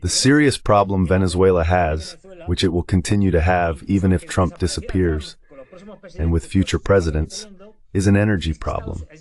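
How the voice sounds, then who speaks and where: A man's voice: low voice, moderate pace, a little mellow. A man speaking as the translated voice-over of a guest analyst, delivering political commentary.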